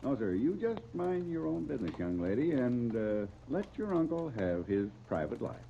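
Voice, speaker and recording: An American actor playing an evasive, fond middle-aged uncle in a 1930s radio serial, on a muffled, noisy old recording. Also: male voice, medium pitch, very animated, moderate pace, gravelly. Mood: blustery, indignant.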